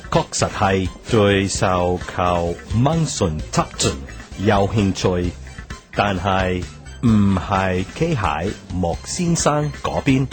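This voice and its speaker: An actor playing a powerful, measured media tycoon in a Cantonese radio drama, speaking in halting, deliberate phrases. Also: male voice, sits low, expressive, music in the background. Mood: measured, assured.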